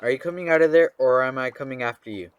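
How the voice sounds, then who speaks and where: A man playing a gruff, suspicious father in a radio drama, with a stern, confrontational manner. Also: medium pitch, fast, muffled recording, lightly acted. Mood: angry, urgent.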